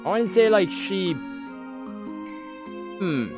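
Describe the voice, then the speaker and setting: Visual novel read-aloud host: mid-pitched, casual, hesitant asides, clear game music underneath. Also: animated, slow. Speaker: let's play host.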